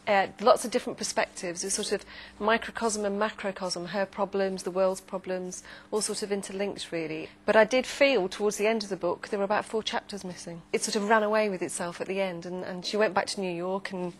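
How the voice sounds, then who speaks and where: A woman's voice: mid-range voice, fairly even intonation, a little fast, a little hiss or hum. A woman giving considered opinions in a conversational book discussion as a panelist.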